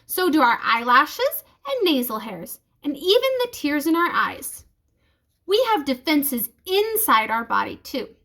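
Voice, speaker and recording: Studious high-voiced schoolgirl read by a woman. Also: sing-song, unhurried, bright, forceful tone, heavily acted. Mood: informative. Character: studious schoolgirl reciting facts from her books. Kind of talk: children's storybook reading.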